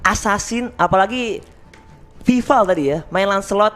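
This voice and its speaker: A man's voice: high voice, normal speed, fairly bright tone. A young man casting an esports match, analysing the draft picks at length. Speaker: esports caster.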